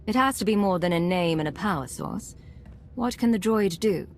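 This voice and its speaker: An actress playing a composed, resolute secret agent commander in a video game, speaking in a cool, commanding manner. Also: female voice, mid-range voice, expressive, normal speed, a little hiss or hum, lightly acted.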